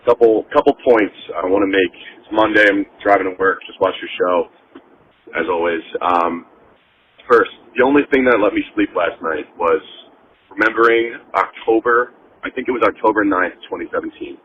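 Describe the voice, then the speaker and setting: A man's voice: low-pitched, moderate pace, a little hiss or hum. A man from Long Island leaving a casual voicemail as a caller to a show, phoning while driving. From Long Island, New York. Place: in a car, driving. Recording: muffled phone voicemail from car.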